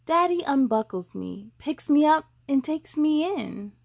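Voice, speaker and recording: Female children's read-aloud host, very high voice, animated sing-song delivery, speaks directly to kids. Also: measured pace, gravelly. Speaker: host of a children's story time show.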